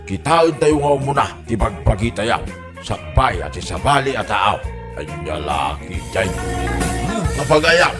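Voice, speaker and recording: A man announcing a comedy drama show in a playful, theatrical, sing-song way, drawing out his words. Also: mid-range voice. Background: prominent music.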